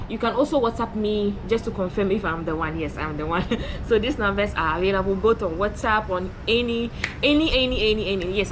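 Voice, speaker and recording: A woman around thirty, talking excitedly to camera in a casual vlog, bubbly and repeating herself. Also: mid-range voice, background noise. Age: 30s. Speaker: travel vlogger. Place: at work on a rainy morning.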